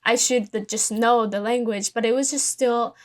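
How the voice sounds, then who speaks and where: A woman's voice: fairly high pitch, fairly even intonation, moderate pace. Colombian-accented woman hosting a podcast. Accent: Colombian Spanish. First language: Spanish. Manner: reflective, with many fillers and restarts. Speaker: podcast host. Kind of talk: casual interview chat.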